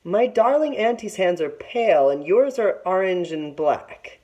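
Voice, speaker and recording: A woman's voice: lowish voice, expressive, measured pace, a little mellow, muffled recording, pitched above the performer's own voice. A woman voicing a wary, bossy young big sister in a storybook, with firm, emphatic phrasing. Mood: suspicious. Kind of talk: storybook read-aloud character voice.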